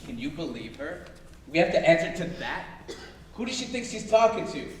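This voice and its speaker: A man's voice: fairly high pitch, very animated, normal speed, a little hiss or hum. Guy playing bitter male prison guard, griping stage musical style, far off mic in big echo. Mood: indignant. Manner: complaining. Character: resentful, indignant veteran prison guard. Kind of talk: musical theatre dialogue and singing. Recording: very echoey distant live staged reading.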